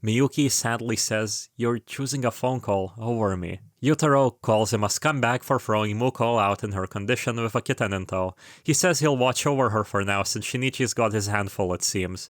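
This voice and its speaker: A man's voice: on the low side, dry recording, clean studio sound. A man narrating a scripted plot recap of a story, with casual, informal asides. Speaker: video creator narrating a game retrospective.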